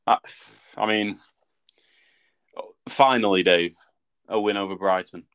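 A man's voice: fairly low pitch, steady tone, slow, fairly bright tone, clean tone. A man chatting casually as a football podcast co-host, with a dry, teasing, mock-indignant way of talking. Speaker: football podcast co-host and Manchester United fan.

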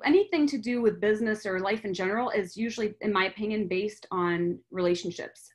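Podcast guest: a middle-aged woman, a former teacher, chatty and earnest. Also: medium pitch. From France. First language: French. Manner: earnest, chatty, many "like" fillers. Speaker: guest, language teacher and author. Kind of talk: casual podcast interview.

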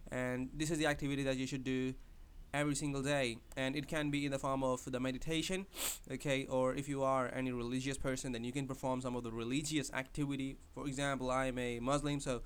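A man's voice: mid-range voice, little pitch movement, fast talker, few pauses, clear, resonant tone. A man who speaks English as a second language, hosting a podcast and giving advice in a hesitant way, with many fillers and restarts. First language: not English. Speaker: podcast host. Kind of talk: casual spoken advice monologue.

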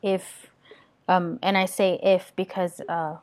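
A woman's voice: fairly low pitch, flat delivery, slow, a little mellow. A woman from Venezuela, interviewing in an earnest, emotional way with long winding questions. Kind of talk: conversational interview.

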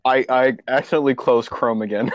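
A man's voice: mid-range voice, steady tone, brisk, dry recording, muffled recording. A man in a casual online group chat who sounds exasperated and tired, keeps trying to get everyone back on track, and often sighs "Oh, Jesus.". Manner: exasperated, weary, tries to steer the talk. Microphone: computer mic on a voice call.

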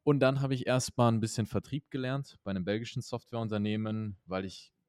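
A young man with a very low voice explains his company fluently and at length, like a startup founder on a business podcast. The recording is dry and close, with no room sound. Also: fairly even intonation, a little fast, darkish tone, clean tone, dry recording. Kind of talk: podcast interview answers.

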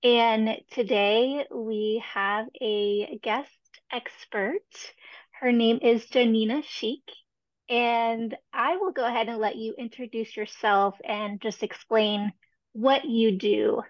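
A woman's voice: a little high, steady tone, slow pace, dry recording. A woman hosting a podcast interview, speaking in an earnest, reflective way. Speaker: podcast host. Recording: dry, dull lo-fi sound.

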